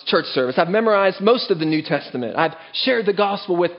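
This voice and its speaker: A man's voice: high voice, animated, brisk, lo-fi sound, pitched above the performer's own voice. A man putting on a smug bragging churchgoer. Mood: smug boasting. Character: boastful self-righteous male churchgoer.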